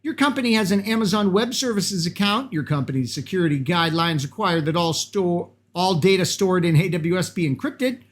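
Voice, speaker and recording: A man with a Massachusetts accent, chatting enthusiastically while he walks through practice exam software and reads the questions aloud. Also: high-pitched, brisk, few pauses. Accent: Boston-area Massachusetts. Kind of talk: casual screen-recorded software walkthrough.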